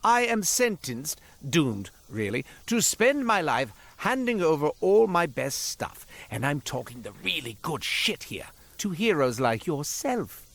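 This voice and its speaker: A man's voice: on the high side, very animated, a little slow, hardly any pauses, fairly bright tone, no echo, heavily acted. An actor playing a cursed, self-pitying merchant in a video game, with wry, florid, melodramatic salesman patter. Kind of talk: scripted video game character monologue.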